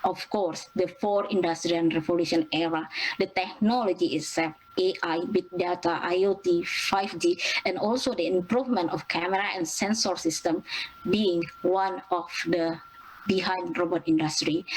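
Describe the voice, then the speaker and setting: A young woman from Indonesia with an Indonesian accent, presenting at an academic conference in a halting way, with many fillers and restarts. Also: on the low side, slight background noise, low-quality recording. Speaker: student presenter.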